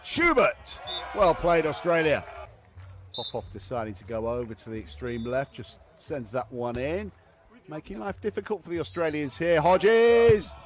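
Male beach volleyball commentator, slightly high register, clipped play-by-play that builds on big points. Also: big pitch swings, unhurried. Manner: clipped phrases, swelling to shouts on big points. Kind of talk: live sports play-by-play commentary.

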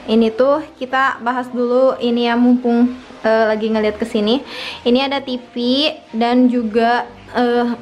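A woman's voice: on the high side, flat delivery, normal speed, darkish tone. A young adult woman, chatting casually and explaining things to viewers as a home-tour vlogger. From Indonesia. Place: her own small house, front yard then living room. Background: background music.

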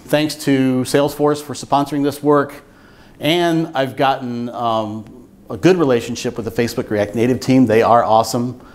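An older man giving an informal technical presentation at a meetup, explaining things in a measured way. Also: medium pitch, steady tone. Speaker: software engineer giving a talk. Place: meetup room with seated audience, indoors.

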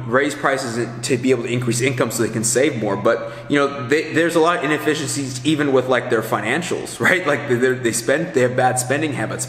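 A man's voice: mid-range voice, a bit bright. A man in his thirties giving casual, unscripted coaching advice in a rambling, conversational way, thinking aloud as he goes. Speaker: business coach for barbers. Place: large indoor kitchen area with hard surfaces. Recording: echoey, reverberant hard-walled room.

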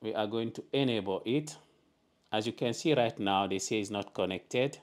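Low-voiced man giving steady instructions. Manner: plain, deliberate instructions. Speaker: tutorial host. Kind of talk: step-by-step device tutorial. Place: indoors at a desk.

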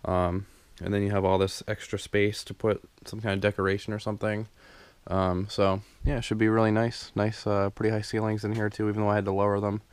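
A man's voice: on the low side, level tone, brisk, clean tone, dry recording. A young man casually narrating a walkthrough of his unfinished basement renovation, rambling with many ums and restarts, recorded on a handheld camera. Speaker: DIY home renovation vlogger. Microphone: handheld camera mic, filming as he walks.

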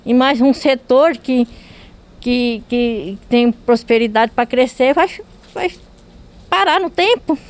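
A Brazilian woman, a local resident, speaking outdoors into a reporter's handheld mic, halting and repeating herself as she complains. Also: high voice. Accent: Brazilian Portuguese. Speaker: resident at a protest. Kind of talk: street interview. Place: outdoors at a health post door.

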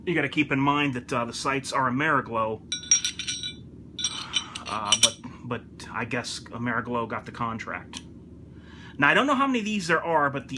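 A man's voice: medium pitch, background noise. A man talking casually to camera as a hobbyist presenter, informal and repeating his points for emphasis. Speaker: gun enthusiast presenter. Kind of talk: casual product review to camera.

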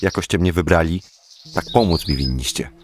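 Radio drama: male actor as modest old beekeeper leader, lowish grave voice, faint insects and birds behind. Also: normal speed, slight background noise, close to an everyday voice. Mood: firm. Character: humble, simple beekeeper elder, calm new leader. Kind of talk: illustrated radio drama acting.

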